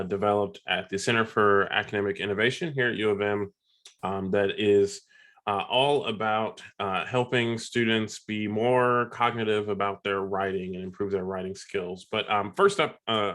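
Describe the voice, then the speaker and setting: A man with a stuffy sore-throat voice, presenting a webinar in a halting way, with many ums and uhs. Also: on the low side, a bit bright. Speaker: software ambassador presenting a webinar. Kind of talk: casual presentation.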